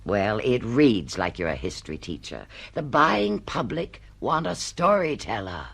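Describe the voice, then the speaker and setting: An actress playing a ruthless, brash publishing executive in a radio drama, blunt, domineering and full of business jargon. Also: female voice, a bass voice, very animated, measured pace, creaky, slightly rough, exaggerated voice. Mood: blunt, scornful.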